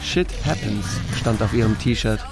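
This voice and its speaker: A man's voice: fairly low pitch, unhurried, over music, lightly acted. A man playing a laconic small-town sheriff who tells his own story in an audio drama, with a dry, understated manner. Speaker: narrator and lead actor. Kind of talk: first-person audio drama narration with dialogue.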